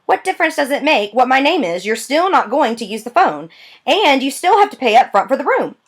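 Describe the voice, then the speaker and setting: A woman's voice: sits high, big pitch swings, fairly quick, breathy edge, voice pushed up, full character voice. Woman doing a curt, grumbling motel clerk. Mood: impatient. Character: gruff middle-aged woman motel clerk in curlers.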